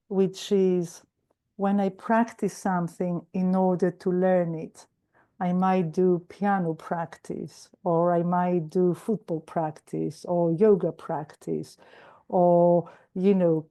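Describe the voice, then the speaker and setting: A woman with a mellow, darker tone speaks in an earnest, unhurried way, pausing often and restarting her phrases. Her voice is slightly raspy from a cold, and she comes through a video call. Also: lowish voice. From Greece. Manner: warm, earnest, with frequent hesitations and restarts. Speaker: guest speaker at a recovery meeting. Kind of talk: personal sharing talk.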